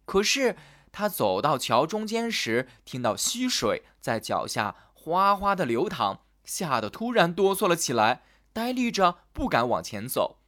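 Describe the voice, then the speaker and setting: A man narrating a fairy tale for children in a gentle, sing-song storytelling manner. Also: a little high, very animated, a little slow, hardly any pauses.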